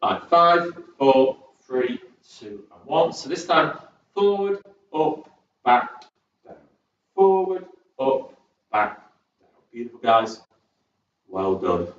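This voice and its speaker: A man's voice: mid-range voice, very animated, takes it slowly, pauses often, a little hiss or hum. A man from Southport with a northern English accent, leading a chair-based exercise class in a chatty, upbeat way and counting down each set. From England, born in Birmingham, raised in Southport. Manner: chatty, upbeat, rambles between cues, counts down reps. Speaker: fitness instructor. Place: large echoey room at a community sports centre. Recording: very echoey, distant and dull.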